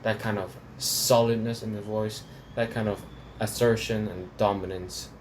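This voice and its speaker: A man's voice: on the low side, steady tone, a little slow, slight background noise. A man from Hong Kong reviewing opera recordings in a rambling, casual way, full of restarts and fillers. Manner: rambling, many restarts and fillers, laughs between points.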